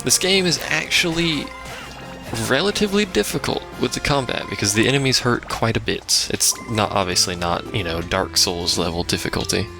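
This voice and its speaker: A man's voice: fairly low pitch, expressive, moderate pace, vocal fry. A man giving casual, rambling live game commentary as a let's play host, with wry asides. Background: video game music.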